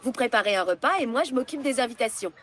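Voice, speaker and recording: Brief: warm-hearted schoolteacher, woman, lilting and lively, a touch high, soft music beneath. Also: fast talker, heavy background noise, lightly acted. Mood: coaxing. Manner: gentle, coaxing. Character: kindly, encouraging schoolteacher woman. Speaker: teacher. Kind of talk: cartoon dub.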